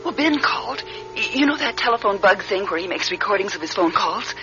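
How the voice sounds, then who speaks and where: An actress playing a devoted, anxious wife in a radio drama, speaking with tender worry. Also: female voice, mid-range voice, animated, brisk, over music, lightly acted. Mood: worried, explaining. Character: devoted, anxious wife fussing over her husband. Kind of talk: dramatic radio play acting. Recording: dull lo-fi radio recording.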